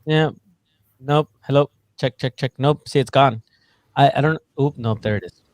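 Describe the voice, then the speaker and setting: Man, mid pitch, teasing banter, dry close sound. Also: no echo. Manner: playful, quick to laugh and tease. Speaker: podcast co-host. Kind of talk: casual podcast chat. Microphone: podcast mic on a remote call, wearing headphones.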